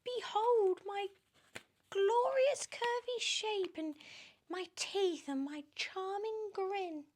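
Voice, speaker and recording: Screeching, spiteful bony old aunt. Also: female voice, very high voice, a little mellow, pitched above the performer's own voice. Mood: vain, mocking. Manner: boastful, mocking, drawn-out delivery.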